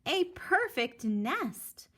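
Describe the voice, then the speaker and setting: Woman voicing an excitable, high-pitched storybook hen. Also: very slow, exaggerated voice. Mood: delighted. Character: excitable, stubborn chicken hen, Spanish exclamations.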